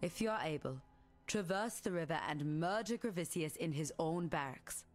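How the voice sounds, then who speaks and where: A stern, low-voiced warrior woman. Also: expressive, faint music behind. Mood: grave, resolute. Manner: solemn, measured, formal. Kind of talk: scripted video game character dialogue.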